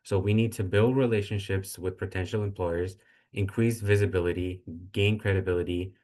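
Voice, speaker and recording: A man's voice: low-pitched, fairly even intonation, moderate pace, darkish tone. A young man from Pakistan, a student intern, casually presenting a careers workshop, with fillers and restarts. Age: young adult.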